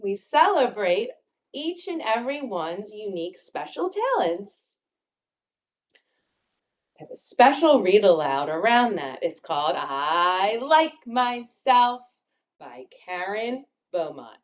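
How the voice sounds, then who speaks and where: A woman teaching children, reading a picture book aloud in a playful, expressive, encouraging way and leaving room for them to join in. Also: mid-range voice, unhurried. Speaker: children's yoga and mindfulness teacher.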